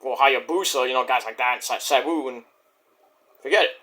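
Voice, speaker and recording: A man's voice: mid-range voice, moderate pace, bright, forceful tone. A middle-aged man with a Brooklyn New York accent, rambling boastfully through a casual wrestling review monologue. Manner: boastful, rambling, restarts and fillers.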